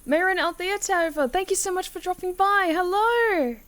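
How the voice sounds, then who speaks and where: A woman's voice: very high-pitched, normal speed, a bit bright. A woman streaming a visual novel playthrough, mixing commentary with reading aloud in a wry, theatrical way.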